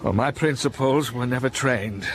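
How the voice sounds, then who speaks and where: An actor playing an older wealthy gentleman who is passionate, teasing and commanding. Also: medium pitch, normal speed, background noise, lightly acted. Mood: ardent. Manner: ardent, playful. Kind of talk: period radio drama.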